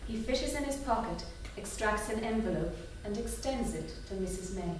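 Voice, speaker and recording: A woman's voice: mid-range voice, animated, moderate pace, darkish tone. A woman reading out stage directions as scripted narration at a staged reading. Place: theatre. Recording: very echoey, noisy hall from far off.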